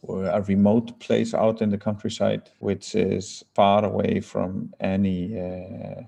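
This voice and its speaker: A man from Iceland answering interview questions as a company founder, speaking haltingly with many fillers and restarts. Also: on the low side, flat delivery, vocal fry, warm tone, husky.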